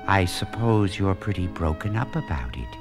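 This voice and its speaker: A man voicing a calm, polite, menacing ship's computer with a measured, unemotional delivery in a children's read-along audio drama. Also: low-pitched. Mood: calm, cold. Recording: music under the voice.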